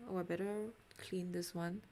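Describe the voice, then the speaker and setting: A young adult woman with a Singaporean accent, chatting casually on a livestream as she draws, in a halting, self-deprecating, giggly manner. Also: fairly low pitch, level tone, mellow, a little hiss or hum. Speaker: art livestreamer. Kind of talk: casual livestream chat while drawing.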